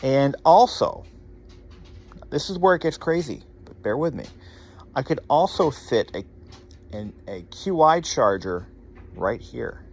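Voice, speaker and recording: Animated, wry man rambling casually. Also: medium pitch, a little slow, long pauses, a little hiss or hum. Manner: wry, sarcastic asides, casual swearing. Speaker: host of a hobby electronics repair channel. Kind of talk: casual rambling demonstration talk to viewers.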